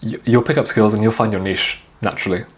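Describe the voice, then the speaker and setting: A man's voice: fairly low pitch, quick pace. A young man in his twenties who grew up in China, talking casually as an interview guest, often repeating words and filling with "like". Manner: relaxed, repeats words, many "like" fillers. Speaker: guest videographer.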